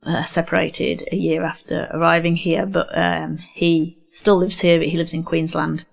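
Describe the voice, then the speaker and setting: A woman's voice: on the low side, level tone, normal speed, gravelly. A middle-aged woman with a slight English accent, a radio interview guest in a studio, speaking candidly and reflectively with many hesitations. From England. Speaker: guest, neurodiversity educator. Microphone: studio microphone.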